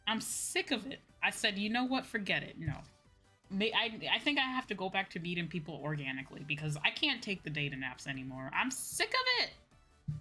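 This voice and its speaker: An American woman chatting casually to viewers as a livestream host, animated and repeating phrases for emphasis. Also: mid-range voice, a little fast, faint music behind. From United States.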